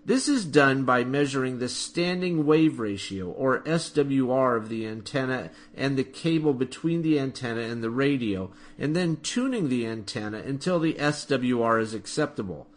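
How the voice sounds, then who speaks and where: A man's voice: mid-range voice, lively intonation, normal speed, few pauses. A man hosting a podcast, reading a scripted explanation in a methodical, instructional way. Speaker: podcast host.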